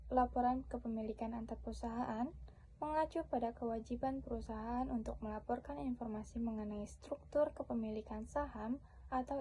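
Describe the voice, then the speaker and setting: High-voiced young woman presenting formally. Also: warm tone, a little hiss or hum, low-quality recording. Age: young adult. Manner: formal, reciting point by point. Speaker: student presenter. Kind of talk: scripted slide presentation.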